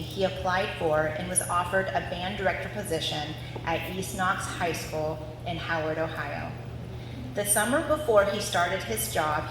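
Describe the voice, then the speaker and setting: A woman reading out a scripted family story before a live audience. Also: lowish voice. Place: large reverberant room at a family gathering. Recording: very echoey hall with clear background noise.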